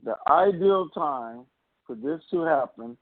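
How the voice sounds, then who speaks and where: A man's voice: a little high, lively intonation, slow, no room sound. A man co-hosting a casual call-in, explaining answers in a blunt, conversational way with emphatic repetition. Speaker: co-host answering listener questions. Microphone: telephone. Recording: narrow, lo-fi phone line.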